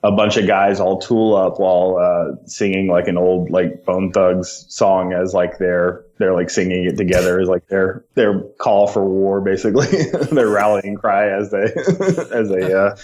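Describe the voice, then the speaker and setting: A very deep-voiced man talks loosely and casually as a podcast guest. He rambles, strings thoughts together with lots of "like", and laughs easily. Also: steady tone, a little slow, a little mellow, dull audio. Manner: laid-back, rambling, heavy on "like". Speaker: film director guest.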